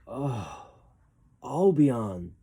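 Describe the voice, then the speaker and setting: A man's voice: mid-range voice, big pitch swings, very slow, mellow, husky, full character voice. A man voicing a weary, good-hearted island musician from a storybook, with plaintive exclamations. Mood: weak, longing. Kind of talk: storybook character reading.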